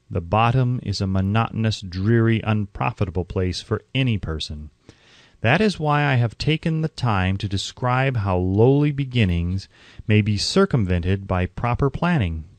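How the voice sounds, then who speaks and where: A man reading an audiobook in a measured, emphatic narrating style. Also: mid-range voice, a little mellow, no echo.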